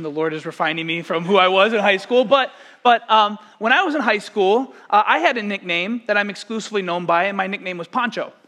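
High-voiced pastor telling stories. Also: male voice. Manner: conversational, storytelling, animated asides to congregation. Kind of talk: sermon.

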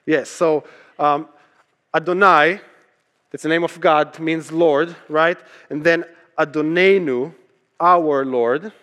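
A man's voice: fairly high pitch, steady tone, slow, fairly bright tone. A man who is a native Hebrew speaker, preaching as a pastor in a conversational sermon style, emphatic, repeating key phrases and posing rhetorical questions. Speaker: pastor preaching to his church.

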